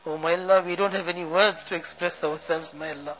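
A man leading an emotional supplication, chanting Arabic verse and pleading fervently, each refrain trailing off to a hush. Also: a little high, steady tone, brisk, a little hiss or hum. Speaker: prayer leader. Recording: dull lo-fi recording.

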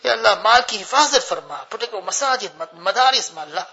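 A man's voice: sits high, expressive, fast, creaky, pushed and bright, slightly rough. An older man leads a tearful congregational prayer, pleading and repeating each plea with a refrain, his voice choked with tears. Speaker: imam leading a supplication. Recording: dull lo-fi recording.

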